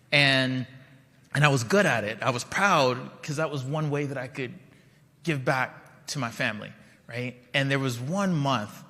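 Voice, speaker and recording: A man with a bright, forceful voice delivers a keynote from a stage. His talk is conversational and story-driven, with frequent asides to the crowd. The sound carries a long reverb from a large hall. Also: medium pitch. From Liberia. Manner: conversational, storytelling, checks in with the audience. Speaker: keynote speaker. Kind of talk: conference keynote speech.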